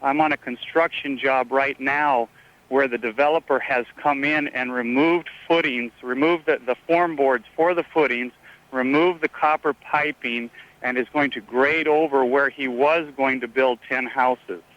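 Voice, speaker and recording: A man calling in to a radio show, speaking earnestly and pausing hesitantly mid-sentence over the phone. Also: mid-range voice, fairly even intonation, fairly bright tone. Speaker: phone-in caller. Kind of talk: unscripted radio call-in. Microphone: telephone. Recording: dull phone call on radio.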